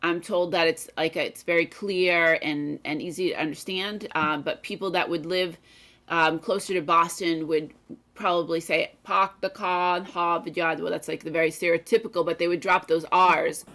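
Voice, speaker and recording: Woman with a mild New England accent, low-ish pitch, casual and relaxed on a webcam. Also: moderate pace. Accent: New England American, mild. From Massachusetts, USA. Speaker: high school college counselor. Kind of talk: video call conversation. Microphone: video call webcam.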